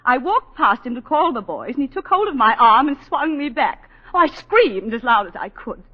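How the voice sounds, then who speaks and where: Casting: distraught colonial planter's wife, high-pitched, theatrical and halting, old radio play with long reverb. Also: female voice, sing-song. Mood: agitated. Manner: halting, emotive, theatrical storytelling. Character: distraught planter's wife recounting a killing, self-possessed and indignant. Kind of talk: 1940s radio drama acting. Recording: dull 1940s radio broadcast, long reverb.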